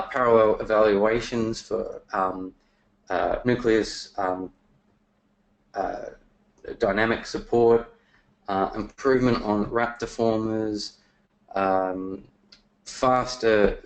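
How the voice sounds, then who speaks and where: A man presenting software features in a webinar, talking casually with many fillers, his voice getting worn and losing strength. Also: lowish voice, fairly even intonation, takes it slowly, pauses often, highs cut off. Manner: casual, many fillers and restarts, throat clearing.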